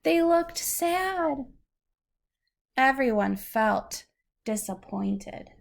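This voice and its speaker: Woman doing a read-aloud for a little kid, unhurried, bit of creak in her voice, patient. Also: high-pitched, expressive. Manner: patient, explains words simply. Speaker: adult reading aloud to a young child. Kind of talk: slow read-aloud storytelling with questions to a child.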